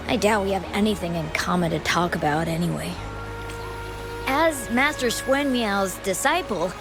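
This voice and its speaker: A woman's voice: medium pitch, close to an everyday voice. A woman voicing an earnest, starstruck travel guide writer in a video game, with an eager, gushing manner. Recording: music behind the voice.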